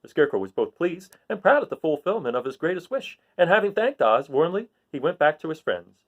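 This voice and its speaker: A man reading a storybook aloud as an expressive narrator. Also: a little high, a little fast, dull audio. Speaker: storybook reader.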